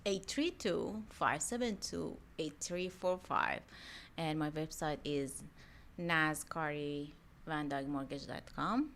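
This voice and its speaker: A woman's voice: sits low, slow. Woman with a thick Iranian accent. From Iran. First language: Persian. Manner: animated, laughs often, rambling self-corrections. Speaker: mortgage loan officer guest. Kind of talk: casual podcast chat.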